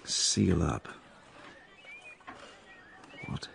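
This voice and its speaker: A man playing a wry, musing writer who narrates plague years with ruminative asides, in a recording with background noise. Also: extremely slow. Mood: musing, confiding. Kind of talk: dramatised first-person narration.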